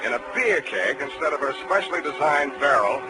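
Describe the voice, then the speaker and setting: An actor playing a hard-boiled, wisecracking private detective in a 1940s radio drama, with a dry, slangy delivery. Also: male voice, medium pitch, lively intonation, fairly quick, natural voice. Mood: wry narration. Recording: vintage 1940s radio broadcast, music underneath.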